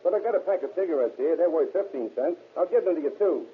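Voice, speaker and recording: A man's voice: on the high side, animated, quick pace, lightly acted. Man playing a slangy young radio-play crook, tinny sound. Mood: bargaining. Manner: hesitant fibbing, slangy, casual. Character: wisecracking, evasive small-time crook, young man. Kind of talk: 1930s radio crime drama acting. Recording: old tinny band-limited radio, hissy.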